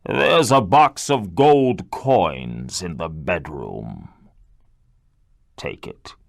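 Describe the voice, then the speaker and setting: A man voicing a huge, angry beast in a storybook reading, slow and threatening. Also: deep voice, expressive, vocal fry, no room sound, studio quality, exaggerated voice. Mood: stern.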